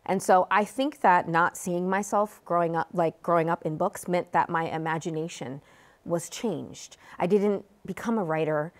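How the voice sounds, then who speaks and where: A woman's voice: fairly low pitch, normal speed. An American woman speaking as an author and guest in an interview, explaining her work. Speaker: author and guest, book advocate.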